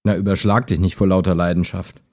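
A man's voice: low-pitched, flat delivery, fast talker, a little mellow, close to an everyday voice. A man playing a skeptical, wistful chatbot that talks like a human in an intimate radio play, understated and hesitant. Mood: tentative, wry.

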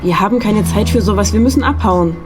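A woman's voice: medium pitch, quick pace, loud music behind the voice, lightly acted. An actress playing a mysterious, insistent woman guide in a radio play, speaking with earnest urgency. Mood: urgent.

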